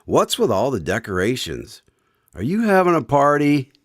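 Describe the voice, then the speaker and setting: A man's voice: medium pitch, huge pitch swings, normal speed, dry recording, studio quality. A man playing a genial, curious radio announcer who hosts an old-time style drama in a courteous, theatrical way. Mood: friendly, curious. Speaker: announcer and host.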